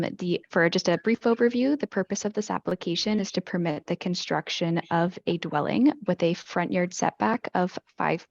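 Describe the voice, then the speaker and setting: A woman with a sore throat presents a planning application, her voice fading and gravelly. She speaks in a businesslike way, and the audio is dry and muffled. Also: lowish voice, no echo. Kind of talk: formal presentation.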